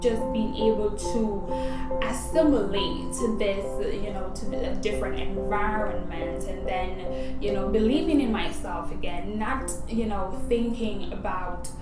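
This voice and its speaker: A woman's voice: mid-range voice, fairly even intonation, measured pace, distant mic. A woman from Jamaica giving an earnest interview testimonial, often saying "you know". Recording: echoey room, music behind.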